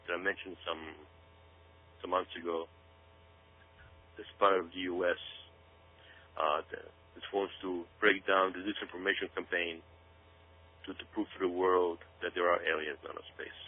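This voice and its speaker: A man's voice: low voice, level tone, moderate pace, pauses often. A man giving radio commentary straight to his listeners, speaking emphatically and dramatically, repeating himself and often checking in with "okay?". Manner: dramatic, hyping build-up. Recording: tinny phone-like sound with hum.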